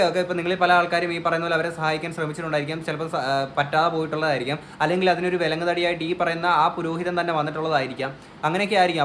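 A man's voice: a little high, a bit bright, a little hiss or hum. A man giving earnest, emphatic opinion commentary as a vlogger on a news case.

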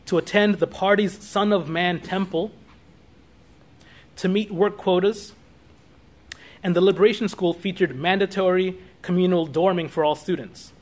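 A man with a clearly high-pitched voice delivers an academic lecture. He sounds like a lecturer in his thirties working from notes, slipping into spoken asides and halting now and then to correct himself. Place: small classroom or meeting room, indoors.

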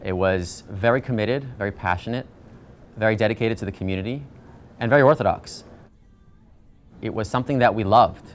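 Earnest rabbi speaking over soft music. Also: male voice, lowish voice, brisk, pauses often. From United States, East Coast. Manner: earnest, reflective, conversational. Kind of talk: documentary interview. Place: outdoors on a shaded porch.

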